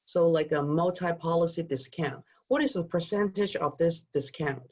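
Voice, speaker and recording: A webinar host moderating an online question-and-answer session over a video call, asking brisk, conversational questions. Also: mid-range voice, darkish tone. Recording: dull lo-fi video-call audio.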